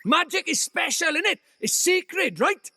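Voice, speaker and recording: An actor voicing a small, cheeky, bossy fairy sidekick with a Welsh accent in a fantasy game. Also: male voice, extremely high pitch, animated, measured pace, sharp tone, dry recording, studio quality, heavily acted. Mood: lecturing. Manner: brash, teasing, colloquial, theatrical. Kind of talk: animated fantasy game voice acting.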